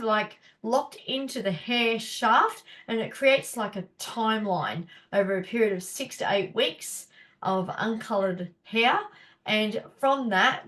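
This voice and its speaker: A woman's voice: medium pitch, fairly bright tone. A woman, a naturopath hosting a casual live teaching session, chatty and encouraging, her nose stuffy and blocked up. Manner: chatty, encouraging, many fillers.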